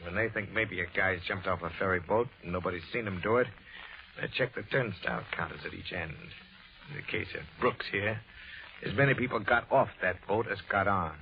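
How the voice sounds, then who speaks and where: A man's voice: deep voice, brisk, vocal fry. An actor playing a jealous, sardonic tough man with cool, taunting menace in a 1940s radio drama. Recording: dull old radio recording.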